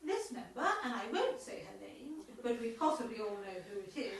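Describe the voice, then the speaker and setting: Woman acting a prim, exasperated committee chairwoman, high-voiced. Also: big pitch swings, a little fast. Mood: businesslike. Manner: prim, officious, easily scandalised. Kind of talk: amateur radio comedy acting. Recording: echoey room, distant from the mic.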